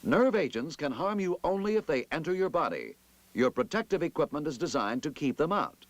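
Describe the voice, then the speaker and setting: A man narrating a scripted 1960s military instructional film in a formal, emphatic, measured manner. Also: fairly high pitch, very animated, dry recording.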